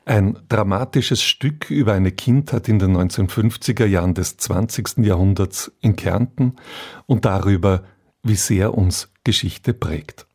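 A man radio announcer calmly giving program notes, with a husky voice. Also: on the low side, measured pace.

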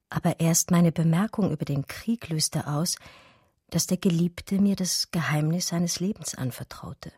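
An actress playing a wistful German art historian, narrating memories of a lost lover in a measured, intimate radio drama style. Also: female voice, deep voice, warm tone, husky, no room sound, studio quality.

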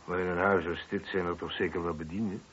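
A low, husky detective inspector in his thirties, dry and probing. He has a sardonic edge, in a radio drama with dull sound. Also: flat delivery, fast, a bit bright. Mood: sardonic, brisk. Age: 30s. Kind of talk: radio play acting. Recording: dull, lo-fi old radio recording.

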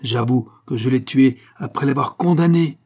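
A man's voice: medium pitch, moderate pace, a little mellow, slightly rough, voice pushed up. A man voicing a proud, troubled young duke in an audiobook, solemn, emphatic and imploring with his brother. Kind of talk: audiobook dialogue acting.

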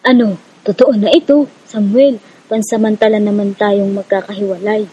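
An actress playing a caring, reassuring Filipino guerrilla medic in a radio drama, with a mellow, husky voice. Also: female voice, medium pitch, fairly even intonation, normal speed, lightly acted. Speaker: rebel medic character. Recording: slightly dull lo-fi audio.

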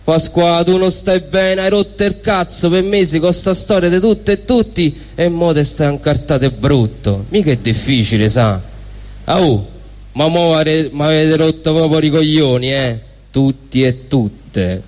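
A man's voice: on the high side, a little slow. A man with a Roman accent reading comic dialogue live on stage in a colloquial, exasperated way. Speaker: stage performer. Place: indoor stage at a live event. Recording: reverberant live hall through PA.

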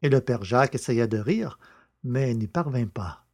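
A man's voice: mid-range voice, lively intonation, unhurried, darkish tone. A man narrating a novel in a scripted, literary storytelling style. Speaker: audiobook narrator.

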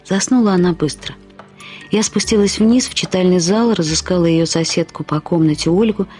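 A woman reading a literary short story as narrator, with expressive, reflective delivery. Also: low-pitched.

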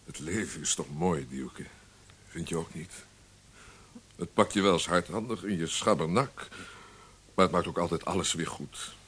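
A man's voice: low voice, normal speed, pauses often, a bit bright, slight background noise. A man in a Dutch radio play voicing a charming, hot-tempered poet and flirt, with a theatrical, teasing, florid way of talking. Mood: contented, musing.

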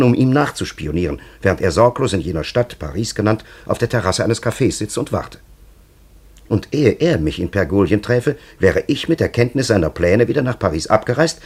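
A man's voice: fairly low pitch, very animated, brisk, darkish tone, husky, exaggerated voice. An actor playing an ancient, cunning and patronising time traveller in a radio play, telling his story in a solemn, elaborate, theatrical way. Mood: indignant, agitated.

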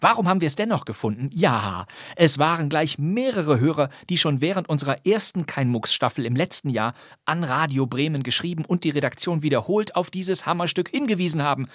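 A male podcast host with a husky voice, recorded close and dry. He narrates from a script with a playful, wry touch, as a presenter of vintage crime radio plays. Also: a little high, animated, a little fast, few pauses, a bit bright, dry recording.

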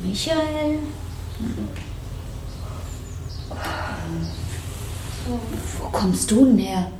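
Eine Schauspielerin spielt eine trotzige, misstrauische junge Ausreißerin in einem Krimihörspiel, knapp und abweisend im Ton. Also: female voice, fairly high pitch, lively intonation, a little slow. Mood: wary, curt. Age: young adult. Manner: curt, defiant. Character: defiant, worn young woman runaway squatter, distrustful. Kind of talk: radio crime drama dialogue. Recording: echoey room with faint background noise.

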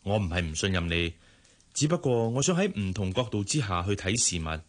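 An actor playing a courteous, hardworking general manager in a radio drama, measured and polite, with a Hong Kong Cantonese accent. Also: male voice, low voice, sing-song. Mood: businesslike. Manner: measured, polite, familiar with subordinate.

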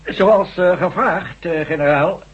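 A stammering, high-voiced scientist. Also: male voice, slow, breathy edge, heavily acted. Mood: explaining. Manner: hesitant, stammering. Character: Professor Jackson, hesitant acoustics scientist. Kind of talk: 1970s radio drama acting. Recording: dull lo-fi radio recording.

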